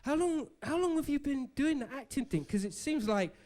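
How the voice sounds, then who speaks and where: A man hosts a live talk show in a noticeably high voice, chatting loosely with his guest. He keeps the conversation friendly and casual, with plenty of banter. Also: animated, moderate pace, darkish tone. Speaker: podcast host. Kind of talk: casual live interview chat.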